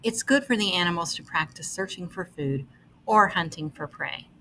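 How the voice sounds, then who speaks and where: A woman reading an article aloud as scripted narration, with measured, deliberate phrasing. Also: lowish voice, expressive, fairly bright tone, a little hiss or hum, poor audio.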